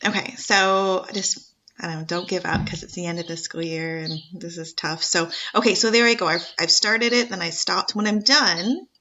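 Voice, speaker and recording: Bright-voiced woman teacher, chatty tutorial. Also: fairly low pitch, normal speed, slight background noise. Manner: chatty, encouraging. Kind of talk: screen-share tutorial.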